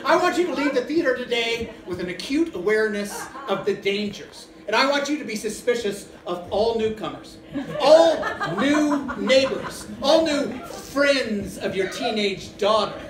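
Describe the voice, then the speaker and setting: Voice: mid-range voice, lively intonation, measured pace, close to an everyday voice. A performer playing an alarmist, paranoid orator, giving an emphatic, mock-serious warning to a theatre audience. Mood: alarmed, emphatic. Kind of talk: theatrical stage address. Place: indoor theatre stage. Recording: distant, noisy, echoey theatre recording. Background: noise and room ambience.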